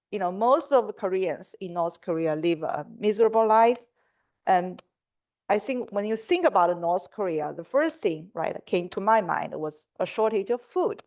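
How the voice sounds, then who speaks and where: A woman's voice: mid-range voice, darkish tone, highs cut off. A middle-aged woman from China, a native Mandarin speaker with a Mandarin Chinese accent, giving a prepared lecture as a symposium speaker. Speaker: symposium speaker, scientific curator.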